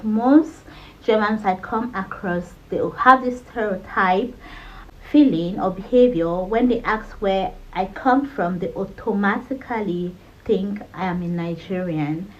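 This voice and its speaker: A woman's voice: mid-range voice, unhurried, muffled recording. A woman from Cameroon in her thirties, talking candidly in a personal vlog with a conversational manner. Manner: candid, conversational, frequent "you know". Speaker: vlogger.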